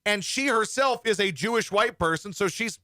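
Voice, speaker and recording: Bright-voiced, blunt male talk host. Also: sits high, expressive, normal speed, no room sound, clean studio sound. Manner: blunt, profane, rambling. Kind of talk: opinionated show banter.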